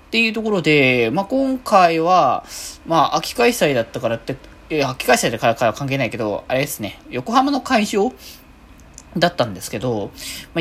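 A woman hosting a casual solo podcast, rambling along and often correcting herself as she goes. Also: sits low. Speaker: podcast host. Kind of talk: casual solo free talk.

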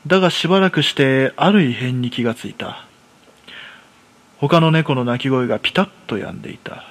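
A self-deprecating shut-in in his thirties, played by a man tells his own horror story in a radio play. His voice is mid-pitched, and he speaks in a rough, colloquial, masculine way with a jittery temper. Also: expressive, moderate pace. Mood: uneasy. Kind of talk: first-person horror story narration, radio drama.

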